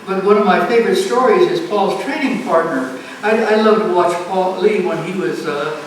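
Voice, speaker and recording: Elderly woman, halting, in a very echoey room. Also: fairly low pitch. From United States. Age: 90s. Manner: halting, repeats phrases. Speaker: speaker at a family art show. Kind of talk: personal reminiscence to an audience. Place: community center event room. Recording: echoey, noisy room, distant microphone.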